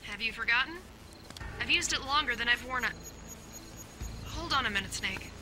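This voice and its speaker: A high, very sharp-edged young woman's voice as a soldier in a radio drama. She is headstrong, sassy and sarcastic, always ready to argue. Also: moderate pace, faint music behind. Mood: defiant. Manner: sassy, combative. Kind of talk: audio drama acting.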